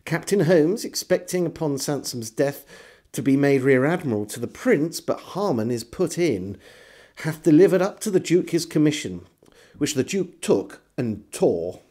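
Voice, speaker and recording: Animated, mellow English male storyteller. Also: fairly high pitch. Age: middle-aged. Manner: measured, theatrical storyteller delivery. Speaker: storyteller and host. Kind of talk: dramatic literary reading of a historical diary. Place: book-lined room at home, indoors.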